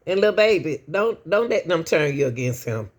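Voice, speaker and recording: A woman giving casual opinion commentary as a channel host, slow and preachy, often calling out to "y'all.". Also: sits low, big pitch swings.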